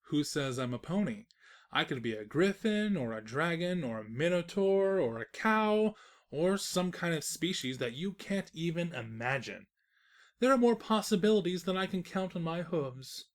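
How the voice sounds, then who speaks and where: A man playing a snarky, defensive invisible narrator of a comic pony story, reading in a wry, expressive storytelling manner. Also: fairly high pitch, normal speed, close to an everyday voice. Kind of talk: scripted narration of comic fan fiction.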